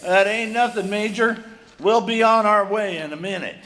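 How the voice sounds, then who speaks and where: A man's voice: high voice, moderate pace, sharp tone. Man playing an indignant Old West train engineer. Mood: resigned. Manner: protesting, folksy Western talk. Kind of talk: Western radio drama acting. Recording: noisy live stage recording.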